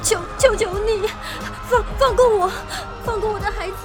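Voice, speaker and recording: A woman's voice: extremely high pitch, normal speed, music in the background. A woman playing a desperate mother in an audio drama, crying and screaming as she begs. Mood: frantic pleading. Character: desperate mother villager begging for mercy.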